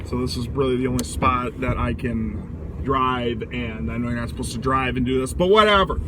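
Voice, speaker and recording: A man with a loud voice giving a casual, rambling sports rant while driving, blunt and opinionated, swearing now and then. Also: medium pitch, normal speed. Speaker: vlogger giving football commentary. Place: inside a moving car. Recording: clear vehicle and road noise.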